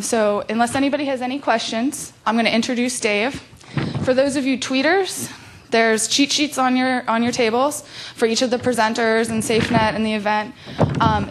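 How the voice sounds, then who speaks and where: A woman host gives quick housekeeping notes from a conference stage. Her voice is somewhat high, and her manner is friendly and informal. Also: slight background noise, rough recording. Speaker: event host. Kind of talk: event announcements.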